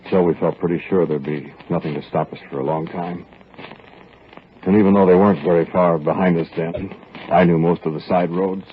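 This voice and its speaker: A man playing a grim fugitive, deep voice, old radio. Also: steady tone, slight background noise, lightly acted. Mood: brooding. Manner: low, measured first-person narration. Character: grim adult man on the run with stolen money. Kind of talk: 1940s radio drama acting. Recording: tinny old radio broadcast with faint music.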